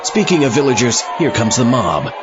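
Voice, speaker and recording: A man's voice: medium pitch, very animated, normal speed, a crowd in the background. A man hosting a podcast with scripted comic narration in a dry, wry manner. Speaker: podcast host. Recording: slightly muffled, loud music behind. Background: music.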